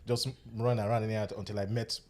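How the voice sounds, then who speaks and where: A man hosting a podcast, speaking slowly and hesitantly with many fillers as he reflects. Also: lowish voice, flat delivery, darkish tone. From Nigeria, Warri. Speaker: podcast host. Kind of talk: casual reflective chat.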